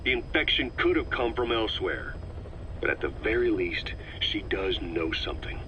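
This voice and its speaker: An actor playing a shrewd, level-headed veteran intelligence officer in a video game, talking in a measured, analytical way. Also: male voice, on the low side, big pitch swings. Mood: firm, reasoning. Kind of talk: dramatic video game voice acting. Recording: muffled, slightly noisy cassette tape.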